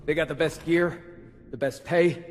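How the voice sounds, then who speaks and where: A man's voice: fairly high pitch, steady tone, a little slow, natural voice. An actor playing a questioning, conversational male Marine squad member in a military action game. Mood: curious, wary. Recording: long reverb, battlefield noise behind.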